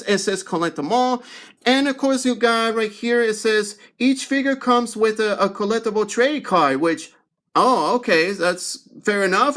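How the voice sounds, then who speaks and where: High-pitched man reviewing toys. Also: a bit bright. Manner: rambling, halting, repetitive phrasing. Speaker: toy reviewer. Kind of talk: casual unboxing review.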